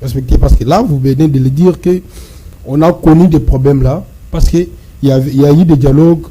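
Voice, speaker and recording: A man interviews guests as a debate host. His voice is fairly high but very dark and mellow. He speaks haltingly, doubling and tripling words, over faint background noise. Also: steady tone, poor audio. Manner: halting, stammering repetition of words. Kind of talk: interviewing in a political talk show.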